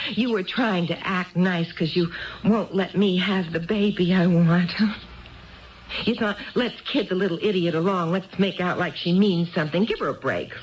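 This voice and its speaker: An actress playing a nervous, hurt, neglected housewife in a 1970s radio drama, talking in a chatty, halting way that seeks approval. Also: female voice, lowish voice, a little hiss or hum, natural voice. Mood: bitter, accusing. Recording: muffled vintage radio broadcast recording.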